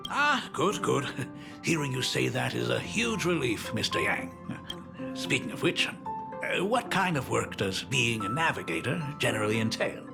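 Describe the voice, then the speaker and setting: A man's voice: medium pitch, sing-song, unhurried, creaky, exaggerated voice. An actor playing an awkward, doting middle-aged father who talks in a hesitant, apologetic, bumbling way. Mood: relieved. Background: game soundtrack music.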